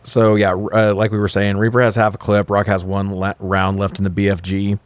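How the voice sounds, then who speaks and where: A man's voice: on the low side, monotone, fairly quick, clean tone, no echo. A man co-hosting a casual film review podcast, recapping scenes in a joking, casually profane way. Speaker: podcast co-host reviewing films.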